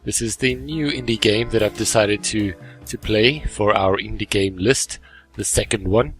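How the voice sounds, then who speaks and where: A man's voice: fairly low pitch, moderate pace, fairly bright tone. A man hosting a gaming video with casual, chatty, easily amused commentary on a game as he plays it. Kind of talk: casual let's play commentary, reading game dialogue aloud. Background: game music.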